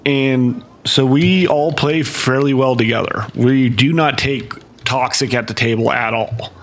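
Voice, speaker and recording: Male streamer, casual rambling explainer, mid-pitch voice, lots of fillers. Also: steady tone, measured pace, a bit bright. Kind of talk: casual live-stream chat.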